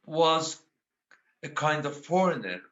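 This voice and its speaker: Slow, halting Afghan poet with an Iranian accent. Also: male voice, fairly high pitch, sing-song, fairly bright tone, highs cut off. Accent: Iranian-accented English. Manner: halting, many filler pauses. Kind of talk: panel talk about his life and poetry.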